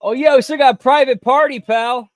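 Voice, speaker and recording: High, smooth-voiced streamer man. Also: fairly even intonation, normal speed, no echo, studio quality. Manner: laid-back, tacks "pal" onto his remarks. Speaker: host of a wrestling watch-along stream. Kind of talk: casual live commentary over a broadcast.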